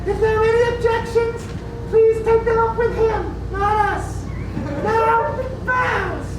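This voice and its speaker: A woman's voice: very high voice, steady tone, takes it slowly. A woman playing a child officiant who solemnly leads a pretend wedding in a staged play reading, with a mock-ceremonial manner. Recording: very echoey distant recording, room noise.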